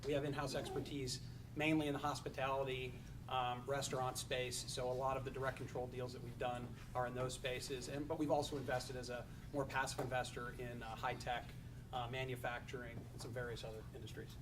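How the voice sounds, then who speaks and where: A man speaking on a conference panel in a steady, businesslike way with some fillers. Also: medium pitch, a little fast. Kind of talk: panel discussion. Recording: distant mic, noisy room.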